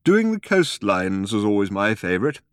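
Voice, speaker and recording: A man voicing a mild, polite old male planet-builder who talks slowly and wistfully, raised above his own voice, recorded dry and close. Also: fairly low pitch, sing-song, clean tone, no echo. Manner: slow and drawn out, mild and courteous.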